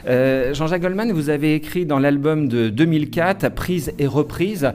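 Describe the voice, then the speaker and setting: A man's voice: fairly high pitch, normal speed, a little mellow. Male interviewer, conversational, repeats words and fills with "euh". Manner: chatty, with stammered repeats and "euh". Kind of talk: interview questions.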